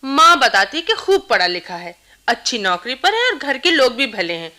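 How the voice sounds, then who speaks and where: Fretful young woman, high bright voice. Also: very animated, normal speed, exaggerated voice. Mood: worried, confiding. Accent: Indian. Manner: fretful, hesitant, confiding. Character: anxious, lovelorn young unmarried woman confiding in a friend. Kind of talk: Hindi radio play acting.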